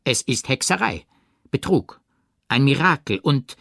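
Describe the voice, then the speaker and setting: A male narrator puts on a showy painter holding forth in a literary audiobook. He is boastful and theatrical, stressing his words at a lifted pitch. The sound is close and dry. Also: lively intonation, measured pace, creaky, a bit bright, no room sound, heavily acted. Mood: gleeful awe. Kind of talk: audiobook character reading.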